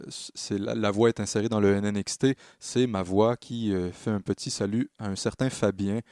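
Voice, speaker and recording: A man narrating a software tutorial as a music production tutor, explaining in a halting way and correcting himself as he goes. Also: lowish voice, steady tone, no room sound, studio quality. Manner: hesitant, halting phrasing with self-corrections.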